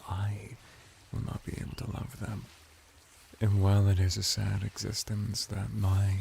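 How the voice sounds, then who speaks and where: A seductive merman bath attendant, played by a man in an intimate ASMR roleplay, speaks in a low, heavily creaky voice. He is courteous and formal, taking his time with lingering pauses, over faint background noise. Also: a little mellow.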